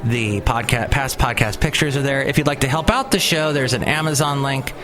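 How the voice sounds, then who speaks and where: A man's voice: medium pitch, fairly quick, music in the background. A man hosting his own podcast in casual solo talk, rambling and chatty with lots of fillers and restarts. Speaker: podcast host.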